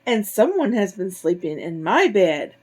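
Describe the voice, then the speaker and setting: Woman acting mother bear, storybook, medium voice, slow, exclaiming, indignant. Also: mid-range voice, sing-song, a little mellow, slightly rough.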